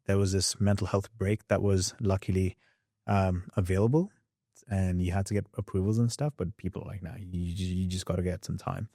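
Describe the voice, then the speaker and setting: A young man with a mixed Australian and English accent, a podcast guest telling his story in a candid, animated way. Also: low voice, dry recording, clean studio sound. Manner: animated, quick storyteller who acts out past scenes.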